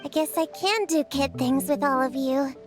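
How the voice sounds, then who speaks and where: A woman's voice: sits high, normal speed, close to an everyday voice. Woman acting a proud, grumbling little witch-hunter girl. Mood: embarrassed, reluctant. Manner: reluctant, grumbling, defensive. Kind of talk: English anime game voice acting. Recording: music behind the voice.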